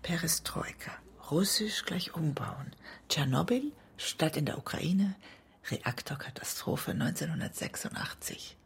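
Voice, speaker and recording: An actress in a German radio drama playing an anguished woman in love with a self-destructive writer, often speaking in a hushed, breathy way. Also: sits low, sing-song, unhurried, whispered, exaggerated voice. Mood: whispering, thoughtful. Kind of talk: intimate German radio drama acting, part poetry.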